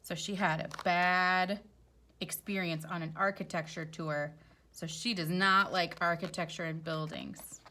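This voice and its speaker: A woman's voice: on the low side, fairly even intonation, measured pace, bright, forceful tone. A woman teacher reading a children's storybook aloud expressively, with chatty explanatory asides for young listeners.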